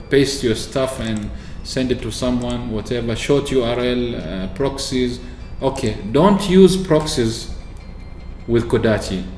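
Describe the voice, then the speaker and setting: A man from Oman chatting casually through a software walkthrough, explaining with fillers and restarts. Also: mid-range voice, slight background noise. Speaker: tech video host. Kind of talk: screen-recorded software walkthrough. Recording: echoey room with faint ambience.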